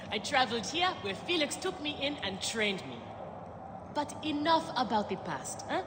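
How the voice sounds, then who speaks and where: A woman's voice: sits high, sing-song, unhurried, noisy background. A woman voicing a cocky, fierce yet friendly gladiator champion in a video game, teasing and swaggering. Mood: friendly, confident. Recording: hall reverb, music behind.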